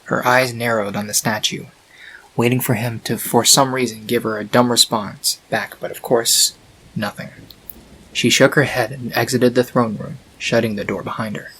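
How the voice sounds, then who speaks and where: A man narrating a scripted fan-fiction story in a measured, dramatic storytelling manner. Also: medium pitch, steady tone, bright, forceful tone, husky, rough recording. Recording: faint hiss on home recording.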